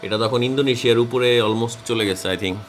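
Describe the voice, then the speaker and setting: A man's voice: mid-range voice, fast. A man with a Bangladeshi accent, an amateur radio operator making radio calls and explaining casually. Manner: spells call signs in phonetic alphabet. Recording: very noisy, radio static and clicks.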